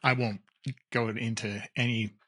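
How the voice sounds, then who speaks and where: A man's voice: mid-range voice, flat delivery, measured pace, bright, forceful tone. A man hosting a podcast, chatting casually and asking playful, lighthearted questions. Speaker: podcast host. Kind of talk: casual conversation.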